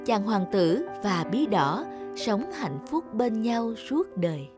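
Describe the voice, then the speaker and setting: A woman's voice: a little high, big pitch swings, slow. A woman reading scripted fairy-tale narration as a storyteller. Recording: music under the voice.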